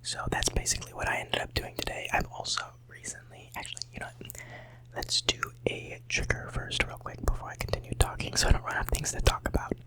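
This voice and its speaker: A teenager in their late teens whispering ASMR, a little sick and hoarse, rambling casually and softly repeating words at home into a close microphone. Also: quick pace, poor audio. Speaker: ASMR creator.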